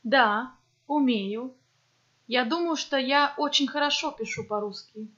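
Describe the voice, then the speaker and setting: A woman's voice: high voice, measured pace, a bit bright. Woman reading textbook dialogues, lo-fi. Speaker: language course dialogue reader. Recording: dull lo-fi audio.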